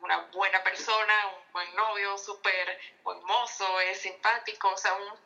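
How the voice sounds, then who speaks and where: A young Venezuelan woman speaks with a Venezuelan Spanish accent and a very bright, sharp tone. She is a novelist answering radio interview questions. Her talk is chatty and full of fillers. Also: medium pitch, steady tone, measured pace, muffled recording, faint music behind. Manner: chatty, lots of fillers, laughs often. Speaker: novelist guest.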